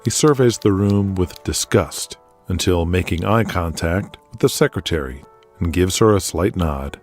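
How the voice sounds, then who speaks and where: A male narrator tells an audio drama in a scripted, theatrical style. His voice sits fairly low and moves expressively. Faint music plays beneath it. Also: darkish tone.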